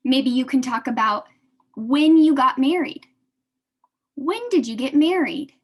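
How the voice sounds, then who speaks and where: A young American woman teaching a beginner English class, speaking slowly and repeating each phrase for her adult learners. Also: sits high, animated, a little mellow.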